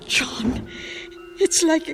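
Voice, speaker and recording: An elderly wife speaks very slowly and tenderly, devoted and full of hopeful wonder. It is radio drama, with music clearly heard under her voice. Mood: awed. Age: older.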